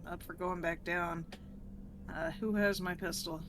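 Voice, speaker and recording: A woman's voice: on the low side, fairly even intonation, moderate pace, background noise. A woman playing a tabletop role-playing game, talking through her moves in casual game-table chat.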